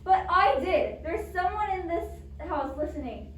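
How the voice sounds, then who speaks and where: A woman's voice: extremely high pitch, expressive, measured pace. A woman acting a frightened, demanding, self-pitying bedridden wife in an amateur radio play, fretful and melodramatic. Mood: terrified. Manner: imperious, fretful, melodramatic pleading. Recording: roomy, distant microphone with faint noise.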